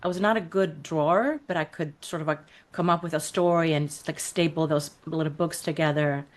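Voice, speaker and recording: A middle-aged woman from Iceland, a podcast guest telling her life story in a reflective, unhurried way with many fillers. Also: low-pitched, steady tone. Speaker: podcast guest, creative coach. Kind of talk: casual interview conversation.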